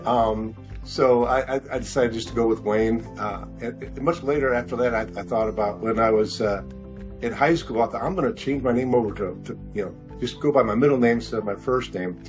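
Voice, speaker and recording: A man in his sixties talking casually as an interview guest, halting and rambling, often repeating words as he goes. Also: mid-range voice, over music. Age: 60s. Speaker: podcast guest, science fiction author.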